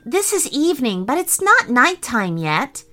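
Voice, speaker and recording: A woman's voice: sits high, big pitch swings, measured pace, pushed and bright, faint music behind, heavily acted. A woman voicing a kind, caring young girl in a storybook reading, speaking in a pleading, earnest way. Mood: scolding.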